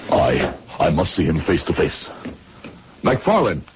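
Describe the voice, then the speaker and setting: A man's voice: sits low, huge pitch swings, slow pace, background noise, heavily acted. An actor playing a bitter, despairing middle-aged former doctor in a radio drama, brooding, sardonic and literate in his speech. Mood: menacing, accusing. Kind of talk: radio drama acting with first-person narration. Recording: dull, muffled radio drama recording.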